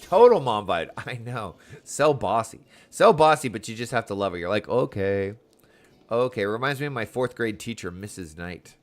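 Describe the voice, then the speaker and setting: Playful man streaming games, very animated pitch. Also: normal speed, few pauses, soft background music. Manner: playful, chatty, sing-song asides. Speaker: game streamer. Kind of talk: casual livestream gaming commentary.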